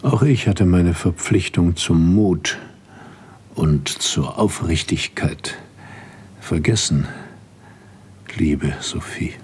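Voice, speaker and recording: An actor playing an aging, gentle and learned husband in a radio drama, grave and measured, with a husky voice. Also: male voice, low-pitched, a little hiss or hum. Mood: wistful. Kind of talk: literary radio drama acting.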